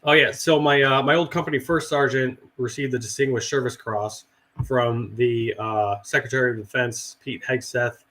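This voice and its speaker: A middle-aged man with a hoarse, raspy voice from a cold, rambling and swearing casually in a relaxed chat. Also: mid-range voice, moderate pace. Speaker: veteran guest and actor.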